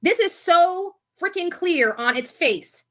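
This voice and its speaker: A woman's voice: very high voice, expressive, slow pace, bright, forceful tone. A woman commentator giving an impassioned monologue to her viewers, emphatic and indignant, repeating herself for force. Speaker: YouTube commentator.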